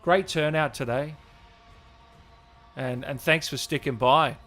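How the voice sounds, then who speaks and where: A man's voice: on the high side, slow, a bit bright, soft background music. Casual, chummy male livestream host. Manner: chummy, informal, addressing viewers as guys and brother. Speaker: host of a gaming channel livestream. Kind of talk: casual livestream chat to viewers.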